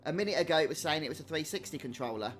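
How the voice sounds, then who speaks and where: A man with a slightly higher-pitched voice chats casually through a live game stream, musing aloud as he plays. Music plays behind him. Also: animated, rapid-fire, a bit bright. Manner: chatty, thinking aloud. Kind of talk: casual live gameplay commentary.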